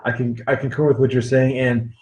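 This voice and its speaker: A man hosts a conversational podcast, speaking at a middle pitch. He talks in a halting, reflective way, padding his thoughts with ums and restarts. His voice carries a noticeable room echo. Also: level tone, darkish tone. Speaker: podcast host. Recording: roomy echo.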